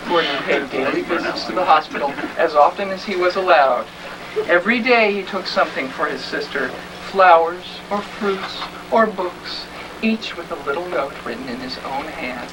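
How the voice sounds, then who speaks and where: A man narrating a children's story in a mock-serious, melodramatic theatrical style. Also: on the high side. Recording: distant, very noisy live stage recording.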